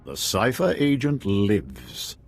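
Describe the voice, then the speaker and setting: A man's voice: fairly low pitch, very animated, breathy edge, soft background music, heavily acted. An actor playing a brooding, menacing male admiral in a video game, with an ominous manner. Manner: slow, ominous.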